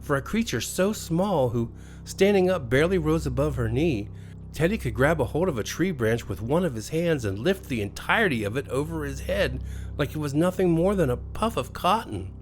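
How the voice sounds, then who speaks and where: A man with an American accent reading a scripted bedtime horror story in an expressive, dramatic way. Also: on the high side, normal speed. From USA. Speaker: storyteller, narrator. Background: faint music.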